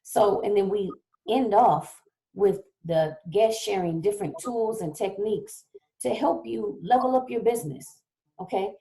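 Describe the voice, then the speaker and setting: A woman hosts a live online talk show, her voice a little hoarse as she gets over a cold. Her tone is mellow and warm-toned, and she chats easily, often checking in with her audience. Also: medium pitch, measured pace, low-quality recording. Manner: chatty, keeps checking in with "okay?". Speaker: show host. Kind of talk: casual livestream talk show hosting.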